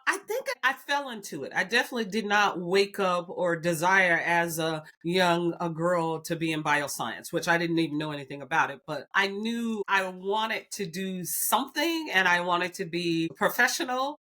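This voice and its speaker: Woman, Alabama accent, middle-aged, earnest, bright voice. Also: fairly low pitch, lively intonation, normal speed, hardly any pauses. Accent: Southern US (Alabama). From Huntsville, Alabama. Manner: earnest, frequent restarts and fillers. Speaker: guest, executive. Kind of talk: candid personal storytelling.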